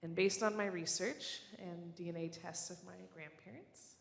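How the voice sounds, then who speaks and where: A low-pitched voice preaching an earnest, measured sermon. The sound rings around a large church hall, and a long reverb trails after each phrase. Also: female voice, steady tone, gravelly. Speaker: guest preacher. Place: church sanctuary.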